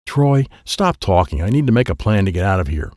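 A man's voice: lowish voice, sing-song, a little fast, darkish tone, no echo, clean studio sound. A man voicing a harried father of a young boy, urgent and exclamatory, in audiobook dialogue. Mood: exasperated.

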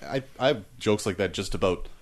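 A man's voice: lowish voice, brisk. A man who is a comedian, chatting casually, easygoing, with many yeahs and likes, laughing along. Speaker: stand-up comedian. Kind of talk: casual chat.

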